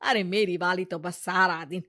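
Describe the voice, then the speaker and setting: A woman's voice: fairly low pitch, steady tone, normal speed, sharp tone, no echo, clean studio sound. Woman playing a nagging cartoon mother-in-law. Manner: nagging, scolding.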